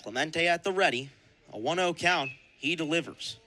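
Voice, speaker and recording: Bright-voiced young male baseball announcer. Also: fairly high pitch, moderate pace, slight background noise. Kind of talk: live radio sports commentary.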